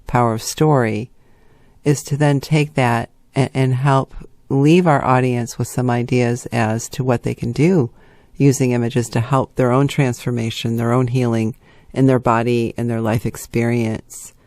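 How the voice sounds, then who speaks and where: Male interview host, mid-pitched, conversational and explanatory, very dry close sound. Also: level tone, unhurried, a little mellow, no room sound. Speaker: show host.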